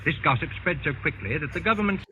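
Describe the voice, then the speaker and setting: A man's voice: fairly high pitch, expressive, fast, pushed and bright. A man acting a haunted, uneasy investigator who tells a grim tale in a vintage radio drama, with theatrical, ominous delivery. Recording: dull, noisy old radio recording.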